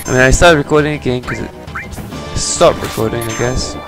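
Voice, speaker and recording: A man's voice: mid-range voice, brisk. A man giving casual, sarcastic commentary while playing a video game, muttering to himself. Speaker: let's player. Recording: game music underneath. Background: game music.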